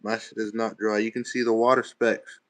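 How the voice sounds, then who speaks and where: A young man with a fairly low voice talks to his viewers in a relaxed, chatty way. He is from Texas and speaks in loose, slangy phrases as he answers comments live from his room at home. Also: moderate pace. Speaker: livestreamer. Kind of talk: casual livestream chat reading comments. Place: bedroom at home.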